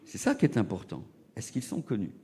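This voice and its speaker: A middle-aged man from Portugal, preaching a sermon and telling his own testimony, rising to loud emphatic peaks and dropping to hushed low asides. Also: huge pitch swings, brisk. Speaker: evangelist preacher.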